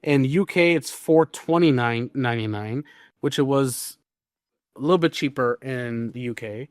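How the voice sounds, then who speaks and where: A man's voice: medium pitch, moderate pace, no echo, clean studio sound. A man hosting a podcast, talking through gaming news casually and emphatically, repeating words to stress a point. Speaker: podcast host.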